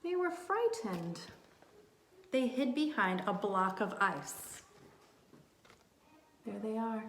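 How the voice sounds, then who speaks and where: A woman reading a storybook aloud to young children, expressively, pausing to put questions to her listeners. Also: a little high, big pitch swings, fairly bright tone, slight background noise. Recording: roomy, echoing sound.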